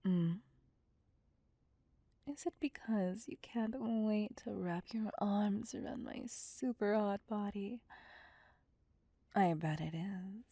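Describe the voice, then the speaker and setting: A woman's voice: medium pitch, warm tone, no echo. A woman playing a seductive, possessive female vampire in a whispered ASMR roleplay, with a teasing, domineering manner. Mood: flirty, amused. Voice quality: breathy, whispery. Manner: slow, teasing, domineering, purring.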